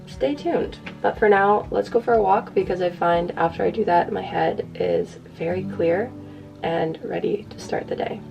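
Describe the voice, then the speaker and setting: A young woman with a raspy voice, rambling casually and self-deprecatingly to the camera as a lifestyle vlogger. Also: fairly low pitch, steady tone, normal speed, music in the background.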